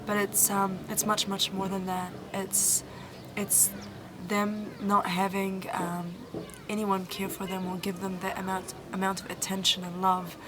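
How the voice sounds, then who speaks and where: A young woman volunteer answers interview questions, earnest and halting, with frequent ums and restarts. Her voice stays fairly level in pitch at a medium height. The recording carries clear background noise throughout. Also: completely flat delivery. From New Zealand. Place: Egyptian village, indoors or outdoors. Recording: noisy background.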